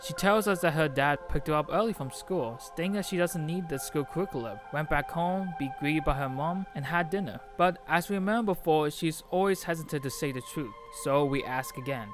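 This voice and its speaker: A man with a voice a little higher than most delivers scripted video-essay narration. He keeps it informal and opinionated, slipping in casual asides. Music plays clearly behind him. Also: brisk, few pauses. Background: background music.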